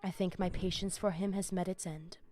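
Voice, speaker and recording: An actress playing a formal, menacing vampire bishop in a video game, speaking deliberately. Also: female voice, fairly low pitch, steady tone, a little mellow, natural voice. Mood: cold resolve. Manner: theatrical, deliberate, ornate phrasing. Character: female vampire bishop, menacing, formal, zealous sect leader. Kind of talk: video game dialogue acting.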